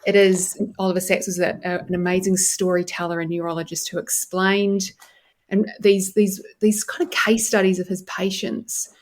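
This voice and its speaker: A woman in her forties with a New Zealand accent, explaining and telling stories as an enthusiastic, expressive podcast guest. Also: mid-range voice, normal speed. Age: 40s. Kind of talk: conversational interview, explaining and storytelling.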